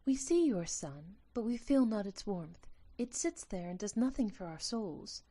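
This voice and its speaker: A woman's voice: medium pitch, lively intonation, unhurried, dark tone. A woman playing a tiny, delicate winged sprite in an audio drama, earnest and tender, with formal, solemn phrasing. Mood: wistful, solemn.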